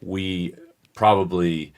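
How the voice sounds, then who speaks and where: A man co-hosting a true crime podcast, explaining details in a measured, analytical way. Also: sits low, steady tone. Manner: measured, explanatory, thinks aloud. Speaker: podcast co-host. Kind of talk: casual analytical discussion.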